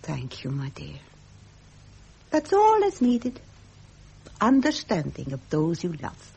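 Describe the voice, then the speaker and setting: Gracious princess, husky and expressive. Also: female voice, on the low side, heavily acted. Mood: serene devotion. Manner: earnest, refined, measured. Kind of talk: 1970s British radio drama acting.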